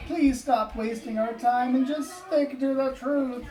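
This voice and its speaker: A stern storybook schoolmaster played by a woman, scornful and quick to scold, in clipped, sneering phrases. The voice is pitched fairly high and comes from a little way off in a reverberant room. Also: a crowd in the background. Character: stern, scornful male schoolteacher, easily exasperated. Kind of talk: storybook character reading. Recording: echoey, distant, faint background noise.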